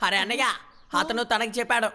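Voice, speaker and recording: Eager, quick-talking little cartoon cat. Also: medium pitch, fairly even intonation, slight background noise. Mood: excited. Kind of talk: exaggerated cartoon dubbing. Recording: loud music behind the voice.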